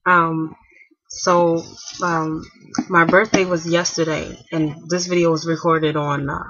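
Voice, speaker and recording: A young woman in her twenties talks to camera from home in a bright, slightly husky voice. She rambles loosely, full of fillers and restarts, and keeps things quiet so a sleeping child won't wake. The dry, dull sound of old webcam audio frames it all. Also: low-pitched, flat delivery, slow pace, no room sound. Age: 20s. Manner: speaking softly to keep a napping child asleep. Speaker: hair vlogger. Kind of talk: casual chat to camera.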